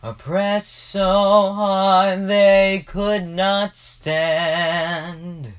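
A woman's voice: mid-range voice, flat delivery, very slow. A woman singing a spiritual hymn.

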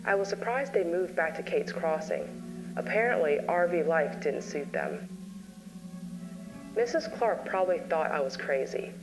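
A woman narrating an analog horror story in a slow, weary, grave voice. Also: lowish voice, animated, low-quality recording. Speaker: narrator, therapist. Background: music.

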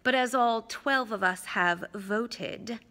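A woman plays a sneering aristocratic villain from a storybook. He is bright and pointed in tone, with a lazy, condescending drawl and smooth false politeness. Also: fairly high pitch, slow pace. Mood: smooth, smug.

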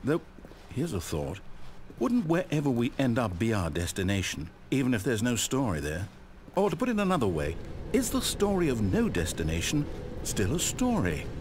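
A man's voice: medium pitch, huge pitch swings, moderate pace, darkish tone, slight background noise. A man with a British accent playing a fussy, whimsical, easily exasperated storyteller in a video game, conversational and theatrical. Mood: bright, musing. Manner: conversational, self-interrupting, dramatic. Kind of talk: theatrical scripted narration.

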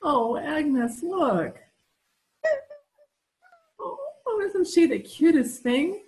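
A woman's voice: high-pitched, very animated, very slow, darkish tone, full character voice, low-quality recording. A middle-aged woman playing a genteel, put-upon wife in an amateur old-time radio comedy. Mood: eager. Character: melodramatic, put-upon wife feigning frailty, sweetly genteel. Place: room at home, video call. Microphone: video call.

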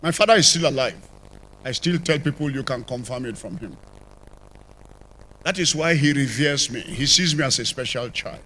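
An older man with a Nigerian accent, telling his conversion testimony as a church apostle, with sudden rises and drops in loudness, on a handheld mic in a large church. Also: medium pitch, expressive, normal speed, long pauses, a little hiss or hum, low-quality recording. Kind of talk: testimony sermon. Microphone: handheld microphone.

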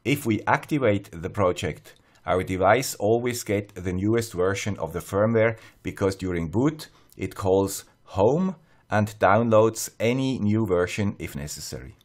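A man with a Swiss accent narrating a scripted tutorial in a measured, plain-spoken, step-by-step way. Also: lowish voice, a little mellow. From Switzerland. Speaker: host of an electronics tutorial channel.

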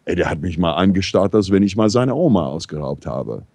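American man telling lively, rambling anecdotes. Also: low voice, a little fast, vocal fry, no echo. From New York, USA. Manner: rambling, animated, many fillers and restarts. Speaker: talk show regular. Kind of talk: casual storytelling in a discussion.

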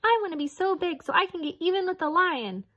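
A woman voicing a small indignant female mouse from a children's storybook, squeaky and scolding. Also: animated, quick pace, pushed and bright, exaggerated voice.

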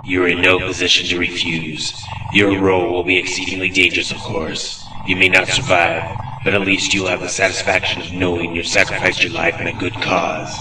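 An actor playing a ruthless, weary bounty hunter in a space drama, formal and deliberately menacing. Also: male voice, low-pitched, completely flat delivery, noisy background, close to an everyday voice. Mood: coolly threatening. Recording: echoey, distant, music underneath.

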